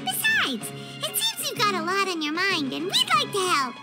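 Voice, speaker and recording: A woman voicing a tiny, eager, childlike fairy companion in a video game, with a squeaky voice and bubbly, expressive delivery. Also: normal speed, heavily acted. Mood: cheerful. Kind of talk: scripted video game dialogue. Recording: game music behind.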